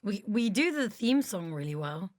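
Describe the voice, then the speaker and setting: Woman, animated swooping intonation, playful casual interview chat, jokey, dry close sound. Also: mid-range voice, moderate pace, no echo. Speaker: voice actor being interviewed. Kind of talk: casual chat answering listeners' questions.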